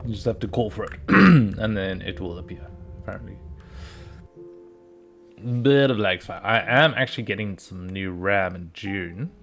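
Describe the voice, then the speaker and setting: A man with a lowish, hoarse voice chats to himself at a slow, easygoing pace while he plays a game on stream. Steady background music sits under his voice. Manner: rambling, talking to himself while playing. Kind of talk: casual gameplay commentary.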